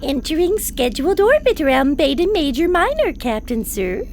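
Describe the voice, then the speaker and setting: A woman's voice: high voice, big pitch swings, moderate pace, darkish tone, a little hiss or hum. A woman playing a meek, dutiful junior spaceship crew member in an audio drama, hesitant and deferential. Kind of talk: scripted audio drama acting.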